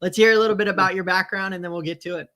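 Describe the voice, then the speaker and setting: A man's voice: high voice, fairly even intonation, very fast, pushed and bright. A man hosting a casual live interview, asking questions in an eager, effusive and praising way. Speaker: podcast host.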